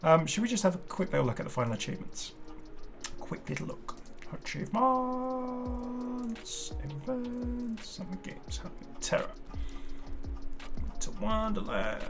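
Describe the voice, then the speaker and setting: A man's voice: very high-pitched, sing-song, a little slow, creaky, over music. A man hosting a gaming channel, giving casual, unscripted commentary in a chatty, enthusiastic way and prone to exclaiming. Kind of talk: casual unscripted reaction commentary on game cosmetics.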